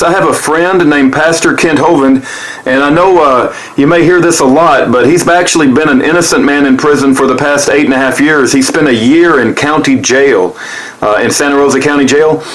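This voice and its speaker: A man's voice: a little high, a bit bright, low-quality recording. A man speaking in an earnest, polite and pleading way, like someone leaving an appeal by phone. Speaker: activist making and sharing a phone appeal.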